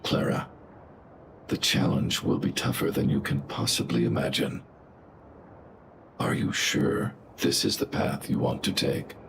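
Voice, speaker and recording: Stern robot guardian, extremely deep. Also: male voice, steady tone, vocal fry, noisy background, heavily acted. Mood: grave warning. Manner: formal, measured, clinical. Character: stern, logical giant male guardian robot, protective of a girl. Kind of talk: video game character dialogue. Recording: loud game music underneath. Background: loud music.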